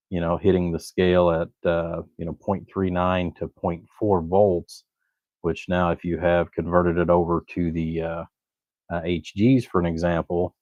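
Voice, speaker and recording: A man giving a casual technical explanation in a halting, rambling way, often circling back to repeat himself. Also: low voice. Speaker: electronics technician and channel host. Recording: dull muffled audio, roomy echo.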